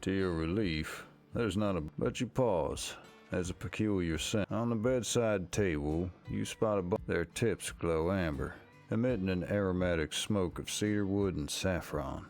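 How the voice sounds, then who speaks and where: Low-voiced man narrating a story. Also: a little slow, a little mellow, soft background music. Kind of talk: second-person scripted story narration.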